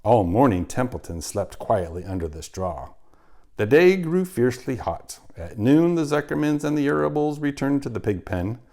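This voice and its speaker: A man, a teacher, reading a children's chapter book aloud with expressive storytelling. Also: mid-range voice, normal speed.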